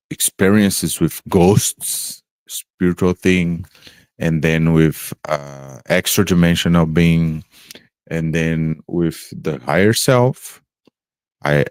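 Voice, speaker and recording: A man, an English speaker, talking slowly and haltingly about spiritual experiences on a podcast, pausing often to search for words. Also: very deep voice, clear, resonant tone, no room sound. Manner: halting, searching for words, many pauses and fillers. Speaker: podcast co-host. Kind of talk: slow reflective spiritual chat.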